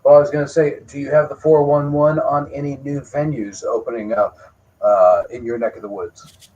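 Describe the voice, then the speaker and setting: A man with a mid-pitched voice interviews casually as a co-host. The sound is dull and lo-fi, like a modest livestream connection. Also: fairly even intonation, moderate pace, darkish tone.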